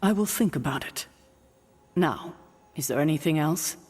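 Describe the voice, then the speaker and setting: A mature, stern female mentor in a video game, with a husky edge to her voice. She is curt and guarded, and her words carry a long hall reverb. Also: deep voice, animated, normal speed, a little mellow. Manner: curt, assertive, defensive. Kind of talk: video game character acting.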